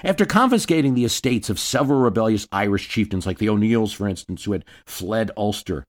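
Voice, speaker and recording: Low-voiced man narrating history. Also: lively intonation, brisk. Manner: storytelling, dramatic readings of quotes; wry asides. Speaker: history podcast host.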